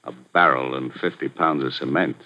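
An actor playing a ruthless middle-aged gambling boss, talking tough street slang in a slow, menacing drawl, in 1940s radio acting. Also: male voice, rumbling low, steady tone. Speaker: gambling house owner. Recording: muffled 1940s radio broadcast.

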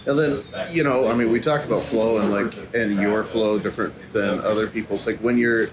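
A man's voice: lowish voice, fairly even intonation, a little fast, low-quality recording. A man hosting a podcast interview, asking long, rambling questions. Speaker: podcast host. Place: downtown coffee shop. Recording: loud coffee shop crowd behind.

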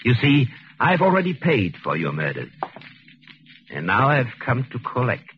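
An actor playing a vengeful, gloating wronged husband, deliberate and menacing, in 1940s radio suspense style. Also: male voice, mid-range voice, huge pitch swings, slight background noise. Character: vengeful, coldly triumphant wronged husband, English ex-convict. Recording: muffled 1940s radio recording with music.

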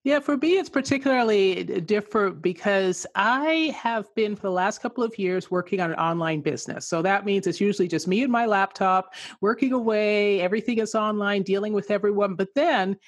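A chatty woman raised in England and Canada, answering interview questions and laughing easily between thoughts. Her voice sits in a middle pitch, and it is recorded dry and close. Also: brisk, few pauses, no echo. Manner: warm, chatty, laughs often. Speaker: guest author.

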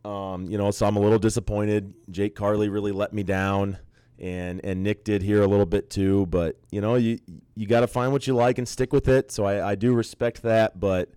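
Give me a male basketball coach with a smooth, low voice, recorded dry. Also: normal speed, no room sound. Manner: relaxed, talkative, frequent restarts. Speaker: college basketball head coach. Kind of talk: casual interview chat.

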